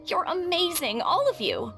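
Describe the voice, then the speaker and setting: A woman's voice: very high-pitched, big pitch swings, normal speed, creaky, over music, full character voice. A woman voicing a supportive, admiring young classmate in an anime video game, earnest and encouraging.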